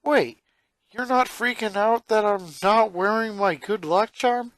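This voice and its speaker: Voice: medium pitch, a little slow, a bit bright, no room sound, voice pushed up, close to an everyday voice. A performer voicing an exasperated eleven-year-old boy from a cartoon fan story, with an indignant, pleading and emphatic delivery. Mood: puzzled.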